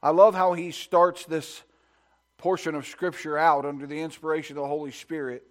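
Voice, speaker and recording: A man pastor preaching a sermon in a church sanctuary, reading scripture and praying, at times hushed and at times rising with fervor. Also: flat delivery, moderate pace. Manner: builds to loud, high-pitched preaching fervor.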